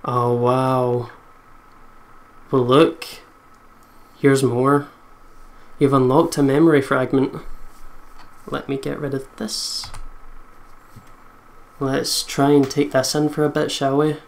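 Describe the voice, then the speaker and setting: Mid-pitched game commentator. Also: level tone, takes it slowly, gravelly, pauses often. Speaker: let's play host. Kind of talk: casual game commentary and script reading.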